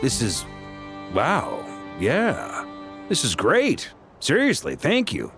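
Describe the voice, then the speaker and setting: A laid-back knight leader in a video game, with a deep voice that moves around a lot. He talks casually and wryly, playing down his own importance, while music plays clearly behind him. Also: big pitch swings, normal speed. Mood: casual, grateful. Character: laid-back, self-effacing knight leader planning a desperate defense.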